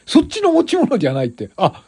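Comic skit: tipsy, flustered man, played by a man, husky and animated, rambling, excitable, over-polite. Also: very high voice, fast talker, full character voice. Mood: flustered, defensive. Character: tipsy, flustered, cheerful man stopped by police. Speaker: show host.

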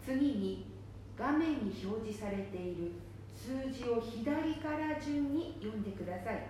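Echoey room, high mellow voice, female phone scammer posing as clerk woman acting, polite, procedural, radio drama. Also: lively intonation, a little slow. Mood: polite, instructing. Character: smooth-talking female scam caller posing as official. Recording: echoey room with faint background noise.

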